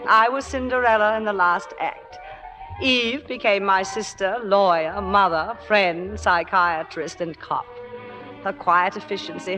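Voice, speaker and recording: A woman's voice: medium pitch, bright, forceful tone, close to an everyday voice, low-quality recording. A woman playing a proud but insecure aging stage actress in old radio drama, speaking in a clipped, dramatic way. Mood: wry, reflective. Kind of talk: 1950s radio drama acting and narration.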